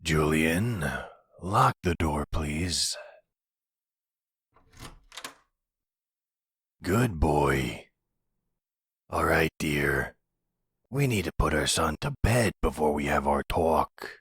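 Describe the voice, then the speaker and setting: A man's voice: animated, slow pace, fairly bright tone, dry recording, clean studio sound, full character voice. A man playing a possessive, delusional middle-aged mafia boss and husband in an ASMR roleplay, speaking with condescending, menacing tenderness. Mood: calm menace. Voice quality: very deep, husky.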